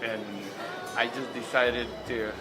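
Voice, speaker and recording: A man telling his own story in a casual, halting interview style. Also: medium pitch, level tone, over music. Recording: noisy outdoor location sound.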